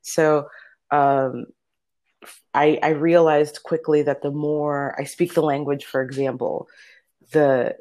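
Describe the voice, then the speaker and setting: A woman's voice: low voice, level tone, takes it slowly, darkish tone. A woman in her thirties, a native Spanish speaker, chatting informally as an interview guest and telling stories as an opera soloist. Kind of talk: casual conversational storytelling.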